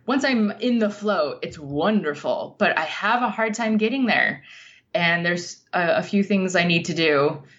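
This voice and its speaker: A woman being interviewed as a writer, speaking in a thoughtful, halting, reflective way. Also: lowish voice, lively intonation, a bit bright, dull audio.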